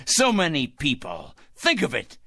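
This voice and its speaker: An actor playing a fanatical, self-righteous, paranoid man, ranting excitably in theatrical radio drama style. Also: on the high side, a little slow, breathy edge, no echo, studio quality, exaggerated voice. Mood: smug, gloating. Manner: ranting, excitable, muttering and crooning to a pet. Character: fanatical, paranoid, self-righteous male crank obsessed with punishing evildoers.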